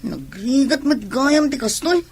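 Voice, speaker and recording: A woman with an Ilocano Filipino accent playing a fretful, irritable young pregnant wife in a radio drama, in a husky voice. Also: high voice, big pitch swings, unhurried, a little mellow, a little hiss or hum, heavily acted. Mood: weary, complaining.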